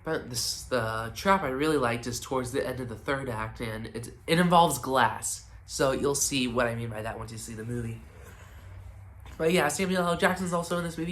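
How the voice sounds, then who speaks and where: Stuffy-nosed guy doing a loose movie review, lots of ums, mid pitch, faint hiss behind. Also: animated, normal speed, a bit bright. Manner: rambling and hesitant, full of ums and restarts. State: congested, stuffy nose. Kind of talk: casual spoken movie review.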